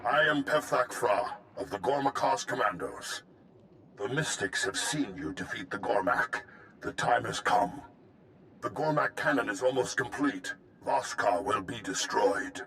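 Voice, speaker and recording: A man's voice: medium pitch, expressive, a little slow, sharp tone, slight background noise. A man voicing a stern, formal alien commando leader in a video game, speaking in clipped, solemn declarations. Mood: grave, commanding.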